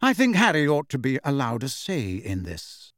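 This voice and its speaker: A man playing a mild, reasonable but firm man in a storybook, measured and diplomatic. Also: medium pitch, wildly swooping pitch, dry recording, clean studio sound.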